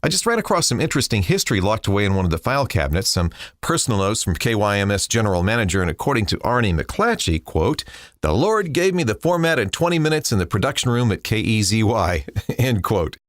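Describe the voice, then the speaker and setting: A male radio host with a fairly low voice presents in DJ style. His intonation swings widely and expressively. The sound is dry, like a studio. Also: sing-song, fast talker, no room sound.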